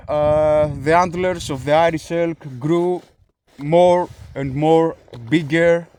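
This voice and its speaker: A Greek man speaking English as a second language, explaining a philosophy idea in a casual monologue, halting with frequent fillers and restarts. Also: a little high, darkish tone. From Greece.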